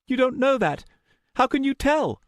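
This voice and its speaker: A man's voice: sits high, animated, normal speed, a little mellow, no echo, studio quality, voice pushed up. A man voicing a frightened, defiant young woman in an audiobook story, strained, emotional and insistent. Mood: stubborn.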